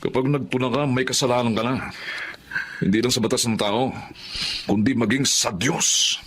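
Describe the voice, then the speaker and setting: An actor with a Filipino accent playing a stern but compassionate police sergeant in a radio drama, speaking in a firm, moralizing way. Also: male voice, mid-range voice, moderate pace, fairly bright tone, a little hiss or hum, close to an everyday voice.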